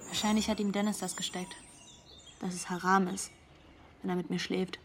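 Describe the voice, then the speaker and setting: A woman's voice: fairly low pitch, flat delivery, normal speed, a little hiss or hum, lightly acted. An actress playing a frank, troubled young girlfriend giving testimony in a radio drama, blunt and confiding. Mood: bitter, hurt. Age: young adult.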